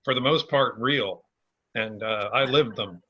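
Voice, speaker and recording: A man talks casually and haltingly, with a dry wit. His voice is bright and mid-pitched, heard through slightly dull video-call audio. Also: slightly rough. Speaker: playwright. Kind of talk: casual talkback conversation.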